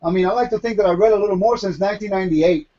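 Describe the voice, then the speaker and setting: A man's voice: high-pitched, racing, warm tone, highs cut off. A man from Puerto Rico teaching Torah in a question-and-answer session, emphatic and posing questions he then answers himself. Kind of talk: Q&A Bible teaching.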